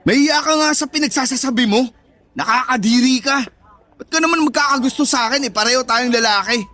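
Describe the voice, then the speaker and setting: A man's voice: very high-pitched, a little fast, sharp tone, no room sound. A man acting as an angry, betrayed young man in a Tagalog radio drama, scornful and confrontational, with a Filipino accent. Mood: scornful, disgusted. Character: angry, betrayed young straight man rejecting his friend.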